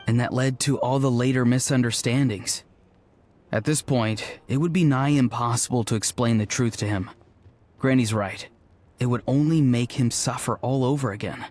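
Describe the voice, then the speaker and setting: A man voicing a serious, level-headed character in an anime-style video game, explaining in a measured way. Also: medium pitch, a little mellow, slight background noise, close to an everyday voice. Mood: grave.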